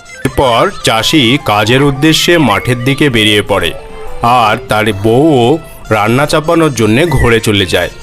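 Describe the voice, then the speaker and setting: A man's voice: medium pitch, lively intonation, measured pace, fairly bright tone, faint music behind. A man narrating a children's cartoon story as a storyteller.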